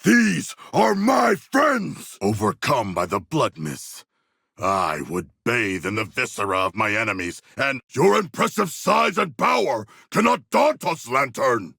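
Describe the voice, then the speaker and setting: An actor playing a huge, solemn shark warrior in a video game, eager for battle, speaking in formal, stilted phrases with a raspy, gravelly voice. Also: male voice, on the high side, pushed and bright, no room sound, studio quality, heavily acted. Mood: fierce, battle-ready. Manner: formal, stilted, grandiose diction without contractions. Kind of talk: video game character voice lines.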